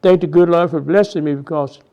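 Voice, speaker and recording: A man in his nineties from Texas with a Southern accent, recalling his life in a halting oral history interview full of restarts and repeated words. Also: a little high, lo-fi sound. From Houston, Texas. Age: 90s.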